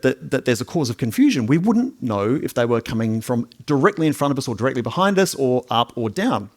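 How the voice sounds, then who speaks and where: A middle-aged man with a fairly high voice gives a live science lecture. He keeps it chatty and jokey, talking straight to the audience and restarting his phrases as he goes.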